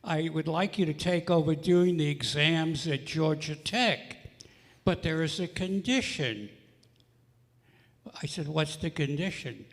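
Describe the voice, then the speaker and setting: An older man speaking deliberately and informally to a club meeting, explaining things and telling anecdotes. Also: on the high side. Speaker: club member addressing a radio club meeting. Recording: echoing hall with long reverb.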